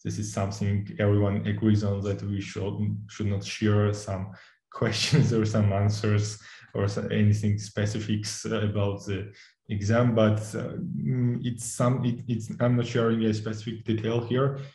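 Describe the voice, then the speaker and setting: A man's voice: fairly low pitch, monotone, a little slow, dark tone, echoey room. A young adult man from Georgia with a Georgian accent, an instructor answering interview questions in English, hesitant, with many fillers and restarts, laughing often.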